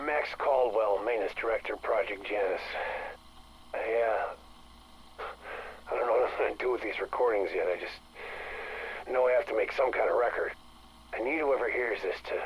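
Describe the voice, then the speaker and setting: Actor as halting, shaken maintenance man on noisy tape. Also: lowish voice, lo-fi sound, natural voice. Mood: shaken, haunted. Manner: halting, stammering. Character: shaken, guilt-ridden male facility maintenance director. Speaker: maintenance director. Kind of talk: recorded confession, video game audio log. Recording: noisy in-game audio log recorder.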